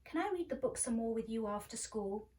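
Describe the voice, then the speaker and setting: A woman's voice: on the high side, lively intonation, brisk, darkish tone, recorded from a distance, natural voice. A woman voicing a stubborn, scornful schoolgirl in a storybook, with an argumentative, doubtful manner. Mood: questioning. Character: stubborn, scornful schoolgirl who dislikes lessons.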